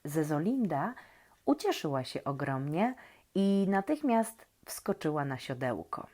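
Slow, low-voiced woman storyteller for children. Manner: gentle, playful, questioning, with expressive pauses. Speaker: children's story reader and host. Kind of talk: reading aloud to young children, talking to the listeners.

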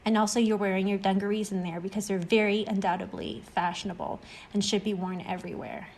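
Reassuring friend for short-story audio, played by a woman: encouraging, explanatory, mid-pitched. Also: level tone, fast talker, darkish tone, natural voice. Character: reassuring, talkative friend who overcame extreme anxiety. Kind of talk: acted short-story dialogue reading.

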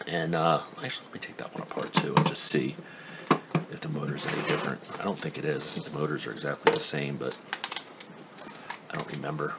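A man's voice: very deep voice, heavy background noise. A man giving a casual hands-on tool review at his workbench, rambling and skeptical, full of ums and restarts. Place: indoor workbench.

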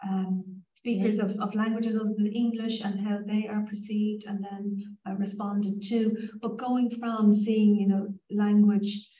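A woman's voice: mid-range voice, little pitch movement, unhurried. A woman with a Dublin accent speaking on a university panel, earnest and full of "you know" fillers. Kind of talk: panel discussion. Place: conference room, indoors. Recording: echoey room mic from far off, dull sound.

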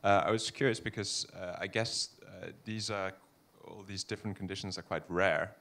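A man from Belgium in the audience asking a halting question full of fillers in a lecture hall. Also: low-pitched, fairly even intonation, gravelly, fairly bright tone. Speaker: audience member.

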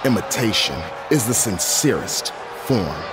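A man's voice: fairly low pitch, big pitch swings, measured pace. An actor playing an arrogant male wrestler who talks about himself in the third person, theatrical and self-obsessed, in a video game cutscene. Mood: taunting. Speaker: wrestler character. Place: wrestling arena ring. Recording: loud arena crowd and noise behind.

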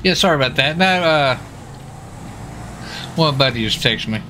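A man with a bright, forceful voice at a middle pitch chats in a folksy, casual way while playing a roleplay game. Faint vehicle noise runs behind him. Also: lively intonation, normal speed. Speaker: live streamer playing a roleplay video game. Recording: noisy engine and street sounds behind. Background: vehicle and game ambience.

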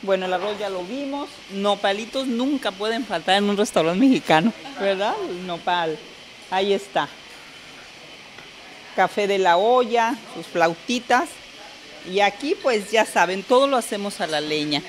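A woman's voice: medium pitch, expressive, normal speed, a little hiss or hum, poor audio. A middle-aged woman restaurant owner giving a proud tour, explaining dishes into a handheld mic. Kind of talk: interview and guided tour. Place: restaurant, partly outdoors. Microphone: handheld microphone.

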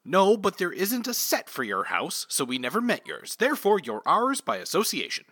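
A scandalized sitcom father played by an actor scolds with melodrama in a radio comedy. The voice is bright, swooping and dryly recorded. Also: mid-range voice, huge pitch swings, moderate pace, no echo, studio quality. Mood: stern. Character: stern, scandalized sitcom dad. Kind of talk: comic radio play acting.

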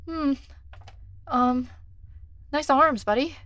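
A woman's voice: high voice, drawn-out words, fairly bright tone. A woman streamer, a native Korean speaker, chatting casually over a game and muttering numbers and checks to herself. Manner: chatty, thinks aloud, reads numbers under her breath. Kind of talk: casual gameplay commentary.

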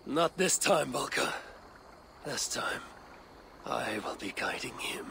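An actor playing a grave, weary middle-aged Viking leader in a game, speaking in a solemn, measured, gravelly way. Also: male voice, mid-range voice, animated. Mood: tender resolve. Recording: game cutscene with noisy waterside ambience.

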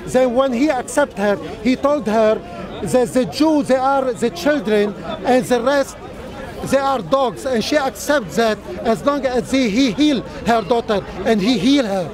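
A man's voice: very high-pitched, measured pace. A man making a careful point in a street debate in a park, speaking with non-native phrasing. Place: city park outdoors, crowd around. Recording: outdoor crowd noise.

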